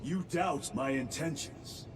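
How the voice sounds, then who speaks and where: An actor playing a resolute male space marine lieutenant who speaks in a clipped, measured way. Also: on the high side, background noise. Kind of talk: video game cutscene acting.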